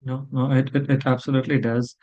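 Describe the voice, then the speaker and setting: A man's voice: medium pitch, level tone, brisk, mellow, highs cut off. A man hosting a podcast, framing his questions carefully and stumbling over words along the way. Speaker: podcast host. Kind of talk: interview questions.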